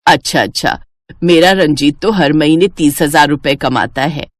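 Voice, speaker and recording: A woman's voice: low voice, a little slow, no room sound, studio quality, pitched below the performer's own voice. A woman voicing a proud older Indian mother-in-law, boastful and probing, in a moral story. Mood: boastful, prying. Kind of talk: animated Hindi moral story dialogue.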